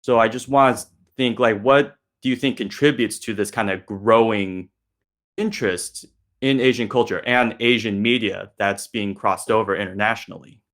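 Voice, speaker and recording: A man's voice: on the low side, fairly even intonation, moderate pace, a bit bright. A man hosting a casual panel discussion, speaking conversationally as moderator. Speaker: host, moderator.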